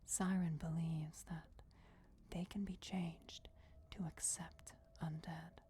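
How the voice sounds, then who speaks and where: A woman voicing an ancient, regal and patient vampire deity in a soft, breathy whisper, formal and deliberate, for a fantasy ASMR roleplay. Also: sits low, level tone. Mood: solemn, patient. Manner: slow, deliberate, formal, measured. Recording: clear background noise, crypt ambience. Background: crypt ambience.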